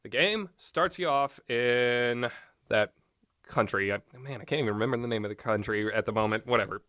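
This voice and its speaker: A man reviews games in a loose, unscripted ramble. His voice is bright and sharp-edged, with an easily amused manner. The sound is dry, with no room around it. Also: medium pitch, normal speed, clean tone, dry recording. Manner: rambling, often breaks into amused laughter. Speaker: video game reviewer.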